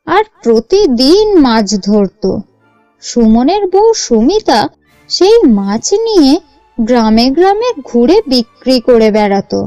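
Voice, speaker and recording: Slow, high-pitched woman storyteller. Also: dark tone, no echo, poor audio. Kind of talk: scripted story narration for a cartoon.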